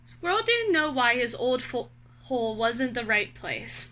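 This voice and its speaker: Bright, high-voiced woman reading to children. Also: unhurried. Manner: expressive, clearly addressing young children. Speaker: read-aloud host. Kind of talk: children's story read-aloud with questions to listeners.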